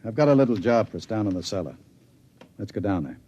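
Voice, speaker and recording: An actor playing a sly, falsely friendly man, husky and patronizing, in a 1950s radio drama. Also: fairly low pitch, expressive, quick pace, warm tone. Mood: coaxing, sly. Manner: coaxing, patronizing. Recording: dull old radio broadcast.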